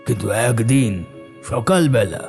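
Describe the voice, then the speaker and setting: A man playing a solemn older villager, reminiscing in measured cartoon storytelling. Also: medium pitch, expressive, gravelly, over music.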